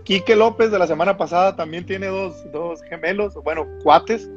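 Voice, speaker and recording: Higher-voiced host, friendly. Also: male voice, moderate pace, lo-fi sound, soft background music. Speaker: podcast host. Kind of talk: casual livestream interview.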